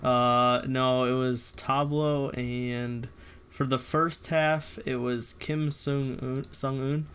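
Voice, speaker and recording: A man's voice: mid-range voice, flat delivery, takes it slowly, fairly bright tone, smooth. A man in his mid-twenties co-hosting a podcast, dryly chiming in with trivia during casual chat. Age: mid-20s. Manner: dry, chimes in with trivia. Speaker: podcast co-host.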